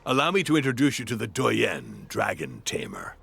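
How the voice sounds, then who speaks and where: An actor playing a brusque, gruff hunter in a fantasy video game, blunt and wry. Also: male voice, on the low side, huge pitch swings, normal speed, sharp tone, faint music behind, heavily acted. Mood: confident. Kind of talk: video game cutscene acting.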